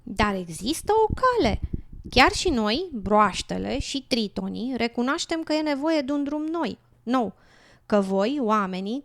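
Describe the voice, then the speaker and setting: Woman voicing a wise, higher-pitched frog. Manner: earnest, persuasive. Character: wise, earnest older frog pleading for nature. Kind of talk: educational radio drama.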